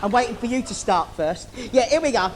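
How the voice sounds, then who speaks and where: A man's voice: extremely high pitch, animated, fairly quick, a crowd in the background. A man playing a scheming, camp old villain in an adult pantomime, theatrical and declamatory, on a theatre stage. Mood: wheedling, bantering. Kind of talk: adult pantomime stage acting with rhyming verse and innuendo. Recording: noisy live theatre stage recording.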